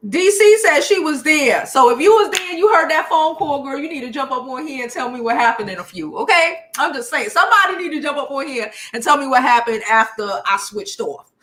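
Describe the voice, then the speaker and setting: High, bright-voiced American woman, sassy, echoey room. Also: expressive, fairly quick, hardly any pauses. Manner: sassy, slangy, blunt, conversational. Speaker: YouTube livestream commentator and host. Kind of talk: casual gossip commentary to live viewers.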